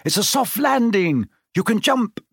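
A man's voice: medium pitch, lively intonation, normal speed, fairly bright tone, slightly rough, no room sound, clean studio sound. A man voicing a brave, plain-spoken young schoolboy wizard in a storybook reading, with a matter-of-fact manner. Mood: calling up.

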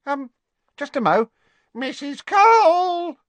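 Man putting on a shrill, scruffy servant girl. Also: huge pitch swings, a little slow, no room sound, heavily acted. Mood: bewildered. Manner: informal, hesitant then bellowing. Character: scruffy, bewildered young servant girl, casual, loud.

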